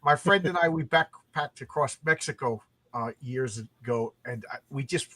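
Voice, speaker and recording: A man's voice: medium pitch, normal speed, pushed and bright, poor audio. A man hosting a casual chat, teasing his guests and acting out quotes for laughs. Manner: joking, teasing, acts out quotes. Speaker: podcast host.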